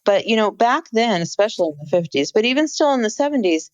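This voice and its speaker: A woman's voice: fairly high pitch, expressive, fast, no echo. A woman co-hosting a casual podcast, earnestly explaining background and retelling what she saw. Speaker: podcast co-host. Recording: dull, dry, slightly lo-fi mic.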